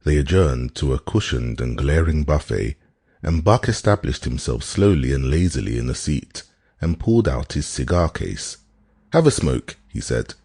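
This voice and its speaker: Very deep, husky male audiobook narrator. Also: lively intonation, normal speed, a little mellow, no room sound, studio quality. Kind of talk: scripted literary narration.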